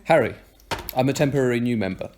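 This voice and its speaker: A man's voice: medium pitch, fast, darkish tone, close to an everyday voice. An actor playing a flippant, blunt young man in an audio drama, with a hesitant, wordy delivery. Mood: hesitant, polite. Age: young adult. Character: flippant, blunt young man, reluctant new club member. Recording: clearly noisy home recording with clicks.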